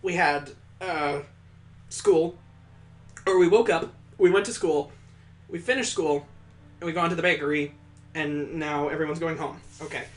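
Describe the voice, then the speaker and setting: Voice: medium pitch, measured pace, pauses often. A gaming host giving playful, incredulous commentary with some swearing while reading a visual novel aloud.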